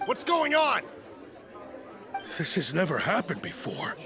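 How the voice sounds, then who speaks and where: A man's voice: high-pitched, huge pitch swings, unhurried, over music, a crowd in the background, heavily acted. A man playing an uneasy, easily swayed onlooker in a video game, agitated in his delivery. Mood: alarmed. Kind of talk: English video game voice acting.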